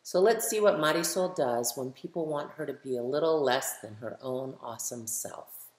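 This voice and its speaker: A middle-aged American woman, a children's author, reads a picture book aloud and speaks directly to young listeners with emphatic, animated delivery. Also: very deep voice, moderate pace. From United States. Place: medium living room at home, indoors. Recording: roomy, echoey home recording.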